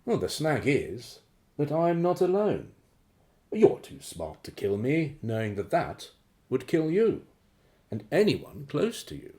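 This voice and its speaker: A genteel villain of a radio drama, slightly high in voice and wildly swooping in pitch. He draws out his words with mocking courtesy, sounding suave, condescending and quietly dangerous. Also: huge pitch swings, exaggerated voice. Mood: mocking menace. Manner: theatrical, condescending, chuckling politeness with drawn-out phrases. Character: suave, menacing, drawling male crime boss, mockingly genteel. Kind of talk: radio play acting.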